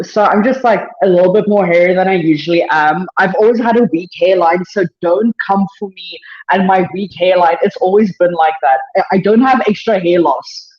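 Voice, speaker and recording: A woman with a South African accent and a naturally deeper voice, talking candidly and self-deprecatingly as a podcast guest, rushing through thoughts with many fillers. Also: a little mellow. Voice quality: deeper than usual voice. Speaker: powerlifter guest. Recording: echoey room, dull lo-fi sound.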